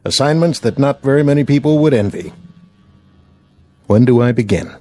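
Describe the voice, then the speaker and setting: An American actor playing a stoic, dutiful astronaut commander in a radio drama, measured, understated and wry. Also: male voice, mid-range voice, dark tone, close to an everyday voice. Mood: reflective, resolute. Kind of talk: radio drama acting and first-person narration. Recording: music behind the voice.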